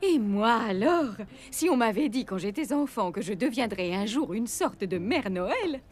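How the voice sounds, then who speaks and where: Motherly Mrs. Claus, gentle, doting, fairly high, expressive, animated series, soft music. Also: measured pace. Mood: tender, reassuring. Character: kindly, motherly Mrs. Claus, tender and reassuring. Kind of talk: French animated series dialogue.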